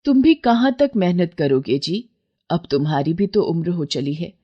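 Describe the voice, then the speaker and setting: A woman's voice: fairly low pitch, big pitch swings, normal speed, lo-fi sound. A woman voicing an aging fisherman's wife in a cartoon story, caring and firm as she gives advice. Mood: weary. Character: poor aging fisherman's wife, steadfast and wise.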